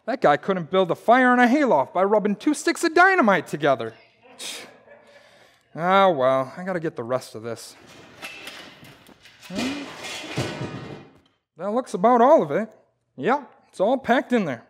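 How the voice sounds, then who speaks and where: An actor playing a blustering, boastful, bumbling husband in old-time radio comedy, with folksy, grumbling exclamations. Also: male voice, high voice, big pitch swings, a little slow, pauses often, fairly bright tone, a little hiss or hum, heavily acted. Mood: self-satisfied. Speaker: actor in community theater radio play.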